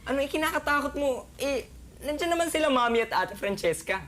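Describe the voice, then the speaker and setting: A man's voice: very high-pitched, expressive, brisk, a bit bright, pitched above the performer's own voice. A young man with a Filipino accent playing a devoted, tender boyfriend in an amateur radio drama. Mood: reassuring. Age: teenager or young adult. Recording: music playing behind the voice.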